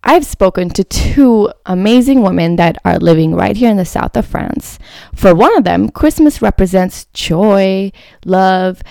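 A woman hosting a podcast, with an upbeat and welcoming tone. Her voice sits at a middle pitch and has a noticeable creaky, gravelly grain, especially at the ends of phrases. The sound is dry and close, with no room echo. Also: mellow. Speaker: podcast host. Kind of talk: scripted podcast intro, then interviewing.

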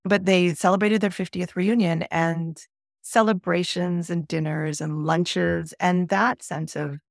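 A woman's voice: on the low side, fairly even intonation, unhurried, gravelly, no echo, studio quality. A middle-aged woman from Peru, a podcast guest, telling a thoughtful personal story with frequent restarts. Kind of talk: reflective conversational storytelling.

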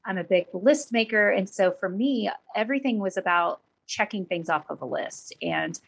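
A woman's voice: mid-range voice, lively intonation, moderate pace, husky. A woman in her thirties from Oklahoma, a podcast guest who speaks in a candid, thoughtful way. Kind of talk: conversational interview.

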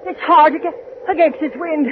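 An actress playing a frail, frantic old widow in a radio drama, tremulous and urgent. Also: female voice, high voice, fairly even intonation, normal speed, close to an everyday voice. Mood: anxious. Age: older. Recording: muffled, noisy radio recording with crowd.

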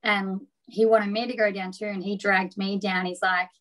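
An Australian woman speaks as an interview guest, relaxed and chatty in tone. She rambles loosely, with lots of "like" and "yeah", at an average pitch for a woman. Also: moderate pace, low-quality recording. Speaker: boxer, guest. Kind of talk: casual interview chat.

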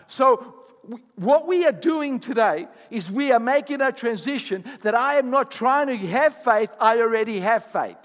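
High-voiced emphatic preacher man in a hall. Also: expressive, normal speed, hardly any pauses, a bit bright. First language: not English. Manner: emphatic preaching with rising bursts and asides. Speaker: pastor. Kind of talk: sermon.

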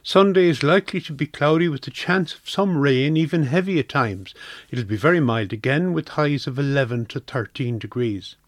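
A man's voice: medium pitch, moderate pace, dry recording, studio quality. A man with an Irish accent reading a scripted weather forecast on community radio.

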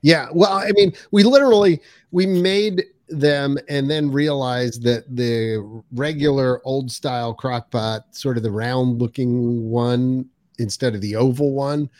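A man's voice: mid-range voice, measured pace, a little mellow, no echo. A man co-hosting a podcast in casual chat, rambling and jokey as he talks. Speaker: podcast co-host.

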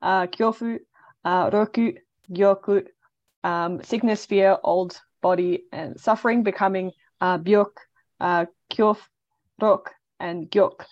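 A woman's voice: medium pitch, steady tone, takes it slowly, a little mellow, no room sound. A female podcast co-host with a mid-range voice. She reads out careful, prepared questions with frequent hesitations. Her audio is dry and dull, with no room sound. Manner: careful, many "um"s while reading prepared questions.

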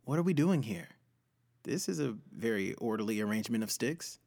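A man playing a mild, kindly young adventurer in a fantasy audio story, quiet and understated. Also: fairly low pitch, animated, normal speed, creaky, dry recording. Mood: puzzled, gentle.

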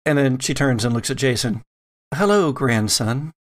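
A man's voice: mid-range voice, fairly even intonation, brisk. A man narrating a tabletop role-playing game as game master, descriptive and a little hesitant.

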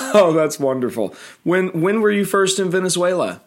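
A man's voice: sits high, animated, moderate pace. A middle-aged American man hosting an educational interview, chatty, with fillers and restarts. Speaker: podcast host.

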